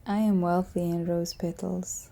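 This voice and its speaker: A woman narrating a poetic piece slowly and softly, sometimes dropping to a whisper. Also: lowish voice, little pitch movement, gravelly. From South Africa. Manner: soft, hushed, drifting into whispers.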